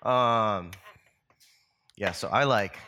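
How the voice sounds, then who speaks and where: Male pastor, scratchy, bright edge; relaxed, rambling preaching style. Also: on the low side, extremely slow. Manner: casual, loose delivery with many fillers and restarts. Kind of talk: conversational sermon.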